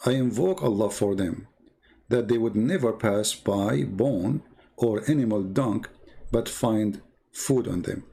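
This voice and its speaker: A man with a gravelly, creaky voice and non-native English presents an informal, polemical commentary. He sits at a slightly low pitch for a man and tells stories with sardonic mockery, often halting and repeating himself as he goes. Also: expressive, warm tone. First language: not English. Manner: sardonic, mocking. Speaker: commentator presenting religious texts. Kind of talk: informal polemical commentary, retelling and reading aloud.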